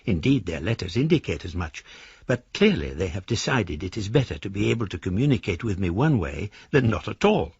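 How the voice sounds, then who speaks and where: An actor playing a philosophical fugitive embezzler in a British radio drama, a mannered gentleman with a British accent reading his formal, ornate letters aloud. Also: deep voice, vocal fry, husky, no echo, highs cut off, exaggerated voice.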